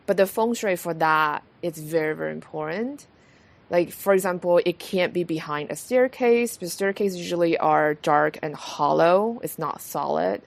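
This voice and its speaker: Podcast host, woman from Taiwan; low, gravelly voice, dry close sound, relaxed filler-heavy chat. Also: normal speed. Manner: relaxed, many fillers and restarts. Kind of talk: casual conversation.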